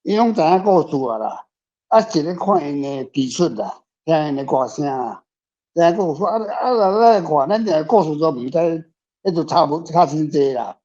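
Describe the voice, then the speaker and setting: A man's voice: on the high side, expressive, moderate pace, warm tone. A man with a Taiwanese accent answering casually as a guest author in a panel discussion, rambling and repeating his words. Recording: dull, lo-fi sound.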